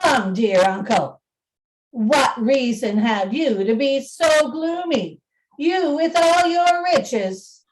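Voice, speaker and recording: A woman playing a cheerful, warm-hearted young nephew in a radio play, hearty and earnest. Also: high voice, expressive, slow pace, low-quality recording.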